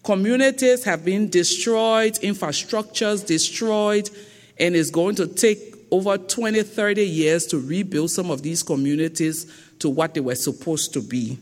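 A woman with a thick Liberian accent giving a public lecture in a slow, deliberate and emphatic way. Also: fairly low pitch, darkish tone. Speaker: guest speaker. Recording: long reverb of a lecture hall.

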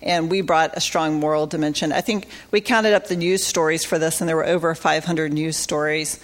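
A woman's voice: deep voice, fairly even intonation, a little fast. A woman organizer giving a conversational conference talk about faith-based advocacy, with many fillers and restarts.

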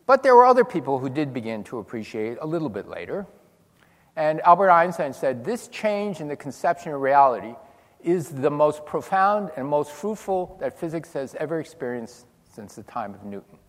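An older man giving a physics lecture to an audience, telling stories with dry humor and lively demonstrations. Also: a little high, moderate pace. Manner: animated storytelling with dry humor. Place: large lecture hall. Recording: long hall reverb.

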